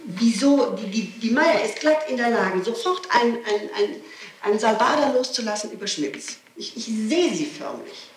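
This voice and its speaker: A woman's voice: fairly high pitch, animated, normal speed. A woman under questioning gives a spontaneous, agitated and insistent statement, often breaking off, restarting and repeating her questions. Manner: digressive, breaks off and restarts sentences. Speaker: person being questioned by police. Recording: old archive tape, roomy, distant, hissy.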